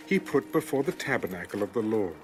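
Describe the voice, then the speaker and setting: A man narrating scripture in a measured, formal, solemn storytelling style. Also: fairly low pitch, big pitch swings, slight background noise.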